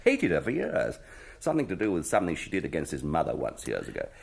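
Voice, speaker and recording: An actor playing a mischievous, chummy TV host with a husky, gravelly voice in a British radio drama. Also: male voice, deep voice, huge pitch swings, quick pace, full character voice. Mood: gleeful, confiding. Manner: chummy, upper-class old-boy slang. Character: mischievous, jovial English TV host, gleefully scheming.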